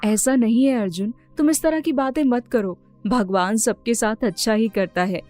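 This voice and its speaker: A kind young female friend from a cartoon story, voiced by a woman. She sounds earnest and reassuring in a fairly high voice, over faint music. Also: normal speed, darkish tone, natural voice. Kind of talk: animated moral story dialogue.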